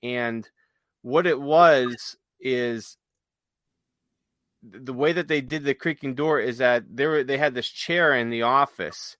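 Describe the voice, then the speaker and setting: A man's voice: mid-range voice, takes it slowly, a bit bright, no room sound, clean studio sound. A man co-hosting a podcast in casual, enthusiastic, rambling explanations, sometimes reading from notes. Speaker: podcast co-host. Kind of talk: casual chat with some read-aloud notes.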